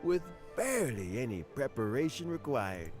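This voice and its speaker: An actor playing a gentle, reflective old man in a video game, speaking in an unhurried, wistful way. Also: medium pitch, very animated, natural voice. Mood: content, savouring. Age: older. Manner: unhurried, wistful, chuckling elder. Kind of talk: scripted video game dialogue. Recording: game music behind the voice.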